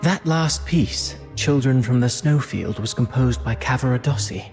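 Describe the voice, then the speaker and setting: Guy doing a posh wry prince for a game, fancy formal wording, medium pitch, bit of music behind. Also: moderate pace, close to an everyday voice. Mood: gentle, reflective. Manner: theatrical, refined formal diction. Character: suave aristocratic prince, eloquent, wry, caring toward friend. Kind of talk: video game voice acting. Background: background music.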